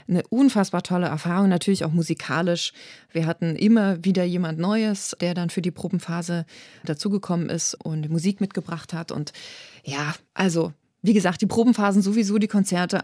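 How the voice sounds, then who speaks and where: A woman's voice: on the low side, a little mellow, dry recording, clean studio sound. A young woman from eastern Germany, a musician answering interview questions in an earnest, thoughtful way, often restarting her phrases. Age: young adult. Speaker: guest musician.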